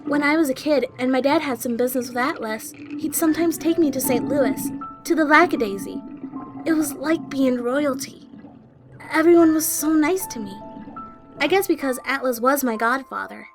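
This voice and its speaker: Squeaky, plucky young woman, played by an actress. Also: moderate pace, over music. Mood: chatty. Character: plucky, chatty young cat woman, bootlegger's girl. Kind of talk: audio drama acting.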